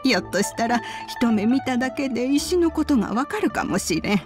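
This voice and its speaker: A woman's voice: on the high side, expressive, fairly quick, natural voice. A woman playing a wise, kindly old woman sage in a video game, with archaic elderly phrasing. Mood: measured, wise. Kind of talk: Japanese video game voice acting. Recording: background music under the voice.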